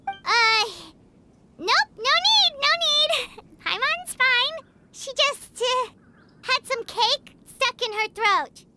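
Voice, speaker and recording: A woman voicing a small, cheerful, eager fairy companion with a squeaky, childlike voice in a video game. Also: lively intonation, slow pace, sharp tone, full character voice. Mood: flustered. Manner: speaks of herself in third person, exclamatory. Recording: music behind the voice.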